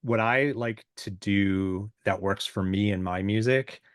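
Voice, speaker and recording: A man with a low, booming voice speaks as a musician guest in a relaxed interview. His delivery is informal and halting, modest about himself as he thinks things through. The sound is dry and close. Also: no echo. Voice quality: deep, booming voice. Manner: halting, self-deprecating, informal. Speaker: podcast guest, musician and self-producer. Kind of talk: casual, reflective interview chat.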